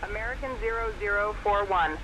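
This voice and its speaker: Female air traffic controller, clipped and even, mid-pitched voice. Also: brisk. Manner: flat, clipped phrasing. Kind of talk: clipped radio instructions.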